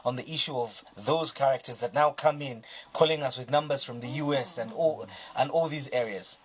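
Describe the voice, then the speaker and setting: A man's voice: mid-range voice, moderate pace. A man hosting a studio discussion and taking call-ins, asking punchy questions. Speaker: TV talk show host.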